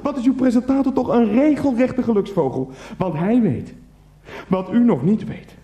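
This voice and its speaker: Game show host, high-pitched, very animated, gushing, goading, repetitive, radio play parody, echoey room. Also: male voice, moderate pace, dull audio. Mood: gleeful. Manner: theatrical, goading, repeats himself.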